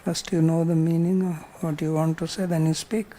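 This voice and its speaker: A man's voice: fairly high pitch, flat delivery, normal speed, dark tone. A man with an Indian accent teaching a scripture class, explaining patiently with simple examples and repetition. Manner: didactic, repeats points, uses simple examples. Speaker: spiritual teacher.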